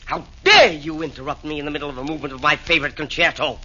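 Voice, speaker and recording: A vain, fussy Nero. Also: male voice, a little high, sing-song, racing, loud music behind the voice. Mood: haughty. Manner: haughty, petulant, affected. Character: vain fussy male Nero, fiddling in hell. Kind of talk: 1940s radio acting. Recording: dull old radio broadcast.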